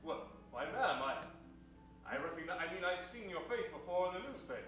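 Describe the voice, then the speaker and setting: A man's voice: sits high, big pitch swings, a little fast, a bit bright, very echoey, distant mic, faint music behind, rough recording. A man playing an earnest, gallant superhero in an improvised radio parody, with a pompous, stiffly formal way of talking. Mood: surprised, admiring. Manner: pompous, stilted, heroic. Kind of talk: improvised old-time radio parody acting.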